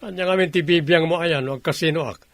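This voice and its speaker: An actor playing a spoiled, defiant younger brother in an Ilocano radio drama, with an Ilocano accent. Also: male voice, fairly high pitch, brisk, a bit bright, no room sound.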